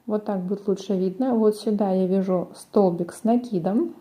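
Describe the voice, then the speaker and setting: A woman's voice: mid-range voice, fairly even intonation, normal speed, dark tone. A woman presenting a crochet tutorial, explaining each step in a methodical way. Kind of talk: step-by-step craft instruction.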